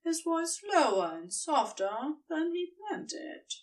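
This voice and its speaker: Slow, melodic woman storyteller. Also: sits high, recorded from a distance. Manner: expressive, tender storytelling. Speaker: narrator. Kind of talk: scripted fiction narration.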